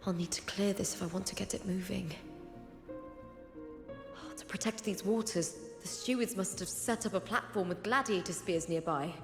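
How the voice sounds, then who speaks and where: An actress voicing a resolute, purposeful young woman warrior in a video game, speaking in a measured, determined way. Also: mid-range voice, natural voice. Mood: focused, practical. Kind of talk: scripted game dialogue, thinking aloud. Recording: echoey hall reverb under game music.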